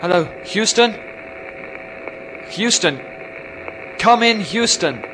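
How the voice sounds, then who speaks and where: An actor playing a lonely, stranded astronaut fraying on a moon base in a radio drama, emphatic and agitated. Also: male voice, high voice, expressive, takes it slowly, background noise. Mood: lonely, frustrated. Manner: emphatic, self-addressing, agitated.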